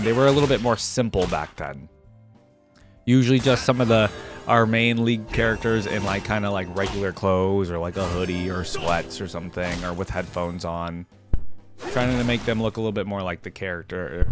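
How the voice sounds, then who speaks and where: A man's voice: deep voice, normal speed, over music. Casual man reacting to game content. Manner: conversational, thinks aloud. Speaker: reaction video host.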